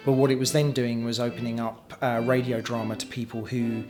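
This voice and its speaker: Man, mid-pitched, over guitar music. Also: little pitch movement, a little fast, a little mellow. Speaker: writer, director and producer. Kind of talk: interview reminiscence.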